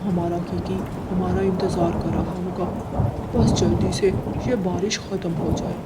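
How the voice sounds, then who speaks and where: A woman's voice: on the low side, little pitch movement, unhurried, very noisy, lightly acted. A woman voicing a worried, devoted male pigeon, a husband and father, in an earnest, melodramatic Hindi cartoon story. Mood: worried, gentle. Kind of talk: Hindi animated moral story dubbing.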